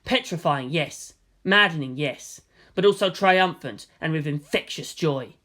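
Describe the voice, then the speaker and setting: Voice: mid-range voice, a little slow, a bit bright. A narrator reading fan fiction aloud as scripted drama, with a theatrical, solemn delivery. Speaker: story narrator.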